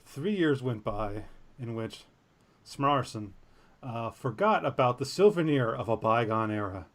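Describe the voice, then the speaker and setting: A man's voice: mid-range voice, lively intonation, measured pace, fairly bright tone. A man co-hosting a podcast, reading a news story aloud and breaking off into jokey, self-deprecating tangents. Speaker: podcast co-host.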